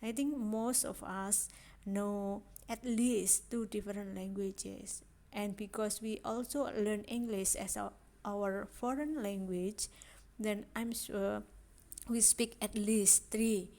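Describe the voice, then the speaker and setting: A woman lecturer with an Indonesian accent talks slowly and patiently through her lesson. Her voice is mellow and soft-edged, and her pitch sits in a middle range. She keeps checking in with her listeners through repeated "yeah"s and rhetorical questions. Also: steady tone. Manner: unhurried, repeats points, asks rhetorical questions with frequent "yeah". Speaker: language lecturer. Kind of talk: recorded online lecture. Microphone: screen recording on a phone.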